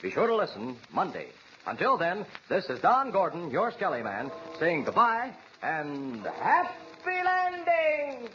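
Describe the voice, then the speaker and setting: An eager, folksy male radio announcer in 1940s style, high for a man and very animated. The old recording is muffled with faint noise. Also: unhurried. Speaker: radio announcer and sponsor pitchman.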